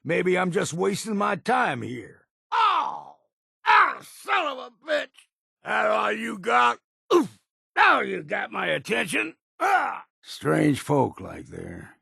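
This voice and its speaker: An actor playing a gruff, blunt, hard-drinking older island hunter in a video game, with a gravelly, raspy voice and a folksy rural drawl. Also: male voice, high voice, huge pitch swings, slow pace, sharp tone, no echo, studio quality, heavily acted. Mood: combative shouting, then steady, watchful. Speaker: companion character in a video game.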